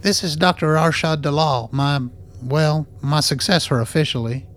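Radio play role: a man as a wry, jaded researcher, fairly high male voice, sardonic delivery. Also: moderate pace, fairly bright tone, close to an everyday voice. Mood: reserved. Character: wry, world-weary male research scientist. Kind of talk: radio drama acting.

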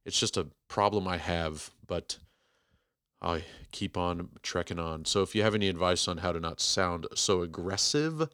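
A man in his forties hosting a podcast, giving a rambling, self-deprecating intro with emphatic, clearly enunciated speech. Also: deep voice, normal speed, a bit bright, dry recording, studio quality. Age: 40s. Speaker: podcast host. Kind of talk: casual podcast intro monologue.

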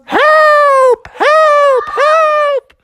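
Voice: very high voice, fairly even intonation, drawn-out words, pushed and bright, faint music behind, pitched above the performer's own voice, exaggerated voice. A storyteller voicing an anxious, guilty young boy frog in a pleading, exclamatory way, as in a children's story. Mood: desperate screaming.

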